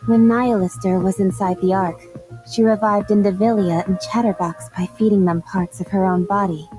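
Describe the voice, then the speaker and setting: A woman voicing a poised, aloof and coolly sardonic commander in a video game, measured and dry. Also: mid-range voice, fairly even intonation, music in the background, natural voice, rough recording. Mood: analytical, dry.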